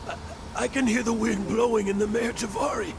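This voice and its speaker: A man playing an emotional, washed-up old adventurer in a game, halting and melodramatic with a creaky voice. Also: sits high, steady tone, noisy background. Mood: awed. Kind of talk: dramatic game character dialogue.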